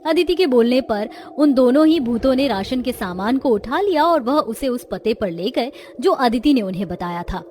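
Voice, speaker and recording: High-voiced woman narrating a cartoon tale. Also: lively intonation, normal speed, hardly any pauses, a little mellow. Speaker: story narrator. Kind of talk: scripted dramatic cartoon narration.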